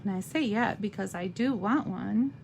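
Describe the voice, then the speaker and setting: A woman with a slightly raspy voice giving a chatty live craft demonstration, thinking aloud as she stamps and colors. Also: mid-range voice, a little mellow, slight background noise. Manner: slow, chatty, thinks aloud while working.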